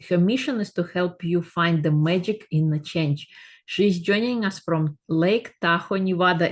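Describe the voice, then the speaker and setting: Woman, podcast host, slightly low voice, reads a scripted intro, hesitant repeats in questions. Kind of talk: scripted guest introduction and interviewing.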